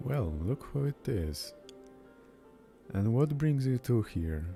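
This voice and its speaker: A man's voice: mid-range voice, sing-song, slow, dark tone. A man reading game dialogue aloud as a streamer, sometimes stumbling over words and repeating them, with game music behind him.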